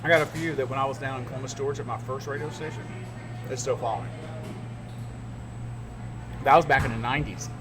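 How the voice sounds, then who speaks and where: A man's voice: mid-range voice, steady tone, fast, long pauses, loud music behind the voice. A middle-aged man, a radio DJ, co-hosting a podcast and telling stories in rambling casual chat. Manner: rambling, laughs at his own stories.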